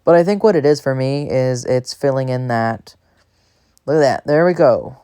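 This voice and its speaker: A man's voice: mid-range voice, moderate pace, mellow, clean tone, no room sound. Chatty guy, close dry sound. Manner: self-deprecating, chatty, easily sidetracked. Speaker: gaming video creator. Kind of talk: casual rambling gameplay commentary.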